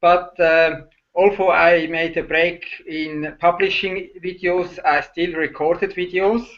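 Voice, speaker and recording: A man's voice: high-pitched, little pitch movement, a bit bright, lo-fi sound. A middle-aged man from Switzerland, a Swiss German native speaker with a Swiss German accent, talking hesitantly on a video call as a guest. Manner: hesitant, halting, many uh fillers. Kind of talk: casual video call chat.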